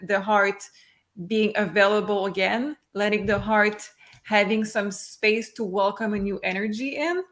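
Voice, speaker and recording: A woman giving live intuitive card readings to viewers in an earnest, reassuring way. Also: mid-range voice. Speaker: psychic card reader.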